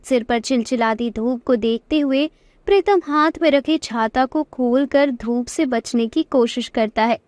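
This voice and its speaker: A woman's voice: fairly high pitch, unhurried, a little mellow, no room sound. A woman narrator reading a scripted Hindi moral story.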